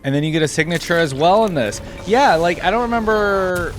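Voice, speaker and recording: A man hosting a gaming reaction video, commenting casually off the cuff and thinking out loud with easy surprise. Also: sits high, brisk, soft background music. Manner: easily amused, thinks out loud, exclaims with surprise.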